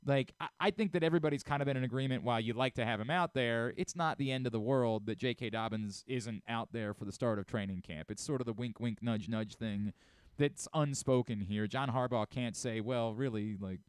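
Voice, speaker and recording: Male sports radio host, very clear smooth voice, medium pitch, dry close studio sound, casual delivery. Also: a little fast, dry recording. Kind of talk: casual sports radio talk, sponsor reads.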